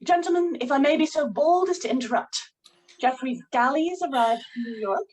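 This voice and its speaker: A woman's voice: high-pitched, lively intonation, moderate pace, darkish tone, low-quality recording. A woman playing a poised, controlling writer's wife in a period drama table read, with formal, persuasive phrasing. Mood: poised, brisk.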